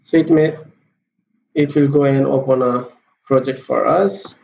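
Male coding tutor, gravelly voice, halting delivery, roomy lo-fi recording. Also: medium pitch, steady tone, a little mellow. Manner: halting, many fillers and restarts. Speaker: programming tutor. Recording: roomy, dull lo-fi with faint hiss.

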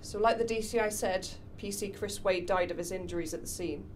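A woman's voice: medium pitch, steady tone, brisk, slight background noise, lightly acted. An actress playing a female police detective giving a methodical, matter-of-fact case summary. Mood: serious. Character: female police detective reporting to her boss.

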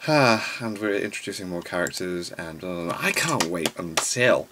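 A man's voice: sits low, expressive, moderate pace, background noise. A young man giving casual reaction commentary as host of a video from a bedroom.

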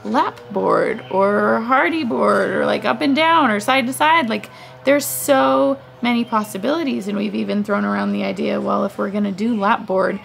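A woman's voice: mid-range voice, normal speed, gravelly. A young woman chatting to a handheld camera on her front porch as a family vlogger, rambling and thinking aloud. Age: young adult. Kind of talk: casual vlog talk to camera. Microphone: handheld camera close up.

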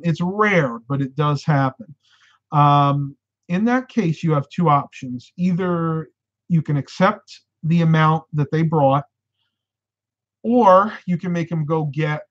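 A man in his thirties, hosting a casual live stream from a room at home, talking animatedly and getting a bit hoarse. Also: fairly high pitch. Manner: animated, gestures with hands while talking. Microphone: webcam at desk. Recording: slightly dull lo-fi webcam sound.

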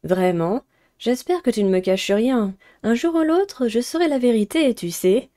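A woman's voice: on the high side, expressive, a little slow, mellow. A woman voicing a pushy, nosy teenage school reporter in a visual novel game. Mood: prying.

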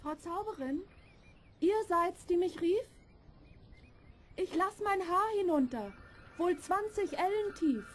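An actress playing a gentle, innocent young captive girl in a fairy-tale audio drama. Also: very high voice, lively intonation, slow pace, pauses often, a little hiss or hum, exaggerated voice. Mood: singsong, inviting.

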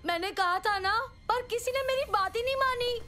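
Excitable cartoon kid boy, super high n piercing voice, dramatic, bit whiny, faint music. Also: moderate pace. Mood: exasperated. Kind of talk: animated children's cartoon dub.

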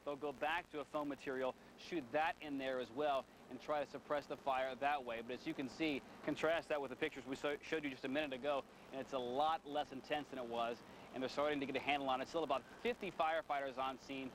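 A man's voice: medium pitch, fairly even intonation, fast, a bit bright, a little hiss or hum, poor audio. A male field reporter giving a conversational, explanatory live news report outdoors near a fire scene.

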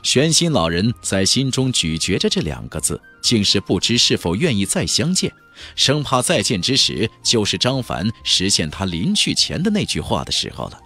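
A male audiobook narrator delivers dramatic scripted storytelling. His voice sits fairly low but moves through very wide swings of pitch, with music playing behind him. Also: big pitch swings, normal speed, pushed and bright.

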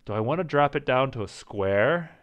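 A man casually commenting as he plays a strategy game, thinking aloud and second-guessing his choices, with a slight rasp and an occasional cough. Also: medium pitch, animated, moderate pace, dull audio. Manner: thinking aloud, indecisive, self-talking. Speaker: gaming channel host. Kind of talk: casual let's-play commentary.

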